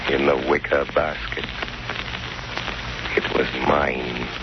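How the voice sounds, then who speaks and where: An actor playing a calm, ominous, invisible crime-fighting avenger in a 1930s radio drama, taunting in a measured, sardonic way. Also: male voice, extremely low pitch. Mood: calmly ominous. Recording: muffled, scratchy 1930s radio recording.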